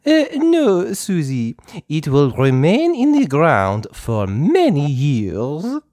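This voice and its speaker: A woman voicing a kindly female gazelle schoolteacher in a storybook, with patient, drawn-out teacherly phrasing. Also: low voice, wildly swooping pitch, warm tone, pitched above the performer's own voice, heavily acted. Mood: patient, instructive.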